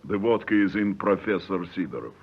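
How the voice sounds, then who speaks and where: A man's voice: deep voice, animated, a little fast, creaky, breathy edge. A man speaking as a professorial lecturer in a scripted 1950s radio language lesson, in a declamatory way. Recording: muffled 1950s radio recording.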